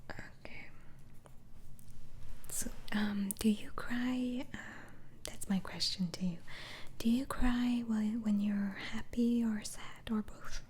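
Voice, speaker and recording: A woman plays a gentle female doctor interviewing a patient in a whispered ASMR roleplay. The whisper is soft, mellow and unhurried, with hesitant, murmured follow-ups. A faint noise sits behind the voice. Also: fairly high pitch, fairly even intonation. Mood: soft, inquisitive. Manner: halting, hesitant, murmuring acknowledgements.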